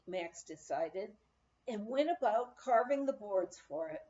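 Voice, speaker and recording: A woman reading a memoir aloud as scripted narration, in a measured storytelling manner with deliberate pauses. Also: mid-range voice, mellow, muffled recording. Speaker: audiobook reader.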